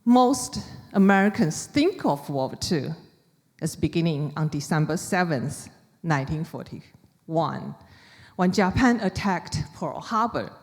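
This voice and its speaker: A woman from China with a Chinese accent gives a prepared public talk as an author, speaking deliberately in short, measured phrases. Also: low voice, big pitch swings. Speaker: author giving a book talk. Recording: reverberant hall with long echo.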